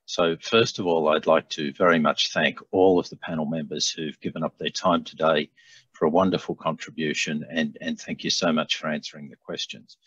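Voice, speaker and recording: Older male moderator, gracious closing thanks, husky low voice, muffled dry call line. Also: fairly even intonation, moderate pace, a bit bright, no echo. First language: English. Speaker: panel moderator. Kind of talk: closing remarks on a video call. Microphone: headset on video call. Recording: dull, dry video-call audio.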